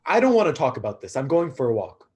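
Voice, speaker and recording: Young destruction god male actor: self-conscious, downcast, husky mid-range voice, stage drama. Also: medium pitch, very animated, fast, darkish tone. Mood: glum. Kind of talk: live staged play reading.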